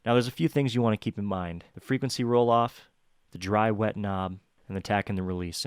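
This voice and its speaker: A man's voice: fairly low pitch, smooth. A man hosting a music production tutorial, talking casually and directly to viewers in an upbeat, chatty way.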